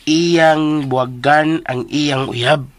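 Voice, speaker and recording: A man acting in a radio drama as a chatty, comic household helper who talks in an animated, colloquial way. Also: fairly high pitch, very slow, no room sound. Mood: gossipy.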